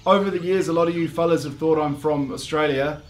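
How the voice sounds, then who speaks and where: A man with a New Zealand accent giving a deadpan comic monologue, halting and full of "um"s. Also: fairly high pitch, soft background music. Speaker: comedic video host.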